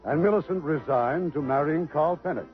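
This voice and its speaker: A man's voice: a little high, moderate pace. A man giving a formal radio drama announcement. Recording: dull old radio broadcast with faint music.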